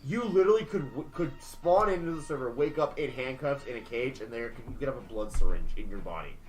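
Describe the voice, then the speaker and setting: A man chatting casually about video games, animated and prone to exaggerate for emphasis. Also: medium pitch, fast, poor audio.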